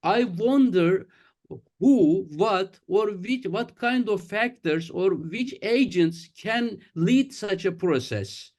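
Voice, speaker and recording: A man asking a panelist a formal question, halting with many fillers. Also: high voice, lively intonation, a little mellow. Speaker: audience member asking a question.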